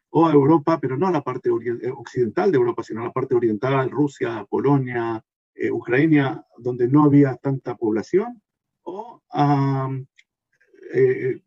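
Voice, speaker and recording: A man's voice: medium pitch, a little mellow, slightly rough, no room sound, highs cut off. A man from Argentina, presenting a workshop with many fillers and restarts. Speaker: consultant giving a workshop. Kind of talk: recorded presentation talk.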